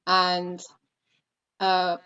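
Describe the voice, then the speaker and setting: A woman's voice: fairly low pitch, completely flat delivery, very slow, sharp tone, clean tone. A woman from Thailand, a guest on a casual podcast, talking at length and thinking aloud with "uh" and "you know". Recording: dull lo-fi sound.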